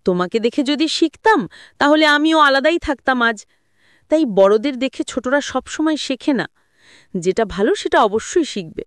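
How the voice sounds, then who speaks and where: Woman playing young mother answering back, audio story, principled, reasoning, higher pitch, dry. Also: lively intonation, moderate pace, clean tone, dry recording. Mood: firm. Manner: earnest, reasoning. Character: principled young married daughter and mother, gently firm, wistful.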